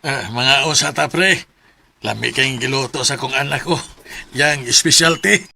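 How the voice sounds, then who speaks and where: A man's voice: medium pitch, a little slow, creaky, pushed and bright, full character voice. A man playing an affectionate middle-aged father in a radio drama, with a Cebuano accent. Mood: welcoming. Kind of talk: Filipino radio drama acting.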